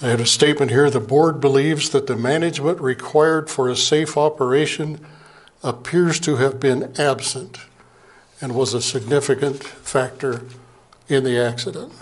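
An older man, an Air Force veteran, giving a plain, halting slide presentation from a lectern in a small museum meeting room, heard from a camera across the room. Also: medium pitch, fairly even intonation. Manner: plain, unhurried, halting with fillers. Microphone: far camera, speaking at a lectern.

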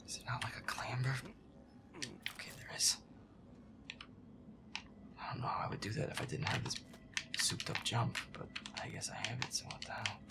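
A man voicing a feisty, grumpy young werewolf boyfriend in a gameplay roleplay, muttering irritably and swearing to himself in a breathy voice. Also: medium pitch, flat delivery, normal speed, pauses often, whispered, close to an everyday voice. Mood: irritated, grumbling. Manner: foul-mouthed, irritable muttering with nervous outbursts.

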